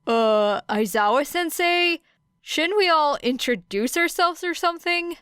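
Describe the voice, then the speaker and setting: A woman voicing an earnest teenage boy student in an audiobook, speaking in a halting, questioning way. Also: sits high, expressive, fairly bright tone, dry recording, studio quality, pitched above the performer's own voice. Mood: hesitant, questioning.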